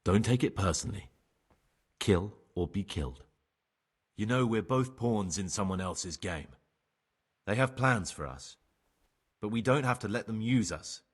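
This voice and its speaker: An actor playing a remorseful ex-bandit turned novice monk in a game cutscene, husky, measured and persuasive. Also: male voice, on the low side, expressive. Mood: persuasive, pragmatic. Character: remorseful young ex-bandit novice monk, sly pragmatic bargainer. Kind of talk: dramatic game cutscene acting.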